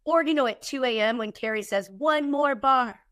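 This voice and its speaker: A woman guest, her voice a little husky from a cold, chatting casually and candidly with a quick, joking manner. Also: sits high, fairly bright tone, dry recording, clean studio sound. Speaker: podcast guest, keynote speaker. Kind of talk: casual chat.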